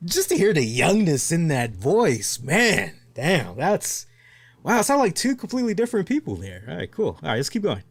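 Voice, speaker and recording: A man's voice: on the high side, huge pitch swings, moderate pace, slightly rough. A man hosting a live-streamed wrestling talk show, rambling conversationally and working through everyday hypothetical examples. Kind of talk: live-streamed casual commentary.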